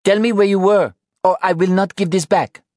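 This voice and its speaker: Man voicing an exasperated, high-pitched angry mother. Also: steady tone, moderate pace, darkish tone, no echo, clean studio sound, lightly acted. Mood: angry, scolding. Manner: accusatory, exasperated. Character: exhausted, angry mother scolding her wayward teenage son. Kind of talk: audiobook character dialogue.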